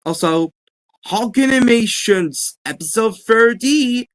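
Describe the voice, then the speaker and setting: A woman giving a casual, halting introduction and sign-off as an amateur voice actor and host of a voice reel. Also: on the high side, animated.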